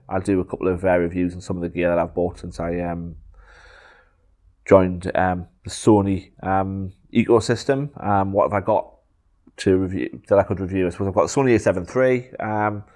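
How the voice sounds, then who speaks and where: A middle-aged man from the northeast of England with a Geordie accent, answering viewer questions in a relaxed, rambling way full of ums. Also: sits low. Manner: unhurried, frequent ums, digressive. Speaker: photography YouTuber. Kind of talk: casual Q&A talk to camera.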